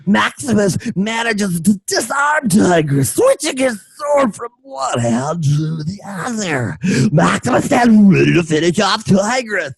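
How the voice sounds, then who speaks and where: Male narrator, hammy, playful, creaky, swooping intonation, loud theatrical delivery, joking asides, radio play. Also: high voice, very animated, measured pace, dry recording. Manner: hammy, playful, often shouting.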